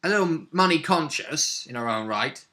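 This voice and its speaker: A man chatting casually as a player, recounting a tabletop role-play session in an animated storytelling manner. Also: on the high side, moderate pace. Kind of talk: casual chat recap of a game session.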